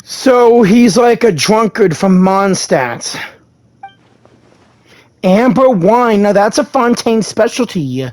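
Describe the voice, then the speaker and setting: A man's voice: high-pitched, expressive, takes it slowly, a little mellow, no room sound. A man playing a video game, reading its dialogue aloud and commenting along.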